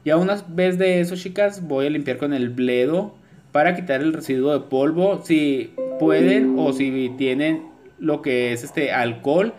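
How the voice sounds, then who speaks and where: A man's voice: fairly high pitch, unhurried, darkish tone, soft background music. A man narrating a nail art tutorial over the footage, chatty and informal, full of fillers and asides to viewers. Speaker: nail artist teaching acrylic nail design.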